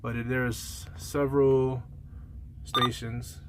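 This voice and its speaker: A man's voice: mid-range voice, level tone, takes it slowly, heavy background noise. A man talking casually and colloquially, like a truck driver giving a rambling, conversational gear review in a vlog.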